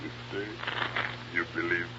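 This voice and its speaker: An actor playing a solemn, urgent Haitian voodoo believer in a 1950s radio drama, insistent, in halting broken English. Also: male voice, sits low, flat delivery. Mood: weak, insistent. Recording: muffled old radio recording, heavy noise.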